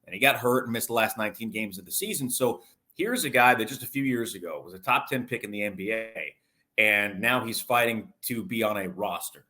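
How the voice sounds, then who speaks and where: Low-voiced man talking sports. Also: lively intonation, brisk, bright, forceful tone. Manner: talkative, explanatory, long detailed answers. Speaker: sports broadcaster, interview guest. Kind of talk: conversational sports analysis.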